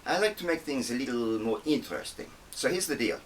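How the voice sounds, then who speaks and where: A man voicing a cocky, petite female combat instructor in an audiobook, brisk, commanding and teasing. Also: mid-range voice, lively intonation, far from the mic, a little hiss or hum, voice pushed up, natural voice, poor audio. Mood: taunting, confident. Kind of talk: audiobook character dialogue.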